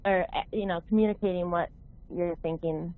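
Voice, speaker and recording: A woman's voice: lowish voice, steady tone, a little fast, dry recording. A woman in her thirties with a Midwestern accent calling in to a radio show, friendly and thinking aloud as she asks her question. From American Midwest. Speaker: caller. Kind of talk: phone-in question. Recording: tinny phone line.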